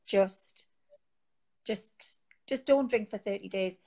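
A woman's voice: medium pitch, slow pace, mellow. Geordie woman, interview guest, casual, rambling, phone mic. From Newcastle, England. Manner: chatty, rambling, with many fillers and restarts. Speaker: guest, founder of an online support group. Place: home, sitting on a sofa.

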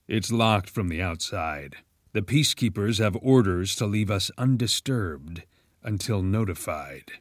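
A man voicing a stiff, weary older male school dean who speaks in a measured, flat way. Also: fairly low pitch, dry recording, clean studio sound.

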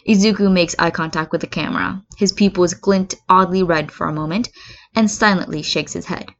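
A woman's voice: lowish voice, fairly even intonation, brisk, fairly bright tone. Woman reading a story, muffled recording. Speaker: fan fiction reader. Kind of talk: scripted story narration with acted dialogue. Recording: dull lo-fi recording.

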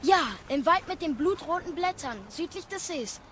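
Casting: eager young warrior's son, high voice, inquisitive and earnest, audio drama over clear background ambience. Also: female voice, lively intonation, moderate pace, fairly bright tone, a little hiss or hum. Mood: curious, earnest. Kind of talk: German audio drama acting.

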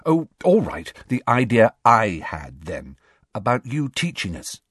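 A man voicing a clever, bossy teenage schoolgirl in an audiobook, with an earnest, coaxing manner and a husky edge. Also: medium pitch, wildly swooping pitch, measured pace, fairly bright tone, dry recording, clean studio sound, pitched above the performer's own voice, heavily acted.

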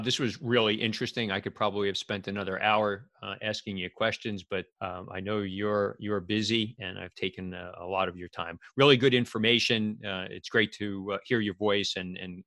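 Bright, lowish-voiced male podcast host. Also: steady tone, a little fast, hardly any pauses, no echo. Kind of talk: conversational podcast hosting.

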